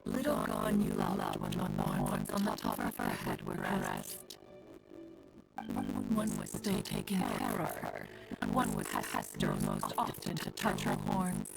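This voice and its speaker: An ancient, dignified mountain guardian from a fantasy game, with stately, old-fashioned formal diction. The delivery swings widely in pitch with a gravelly crackle, over music in distorted, stuttering audio. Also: female voice, wildly swooping pitch, measured pace, long pauses, heavily acted. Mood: fond, chatty. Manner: archaic, formal, stately phrasing, calling self one. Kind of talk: scripted video game dialogue.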